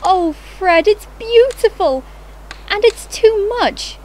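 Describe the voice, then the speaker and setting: An actress playing a sweet, adoring and gracious young wife in an audio drama. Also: female voice, very high voice, animated, takes it slowly, a little hiss or hum, full character voice, low-quality recording.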